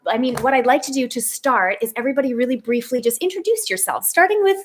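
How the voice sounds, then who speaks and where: A woman's voice: high-pitched, fast talker. A young woman from Canada hosting a casual live stream chat, giggling and filling her talk with ums and likes. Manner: giggly, chatty, many fillers. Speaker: host, media lead.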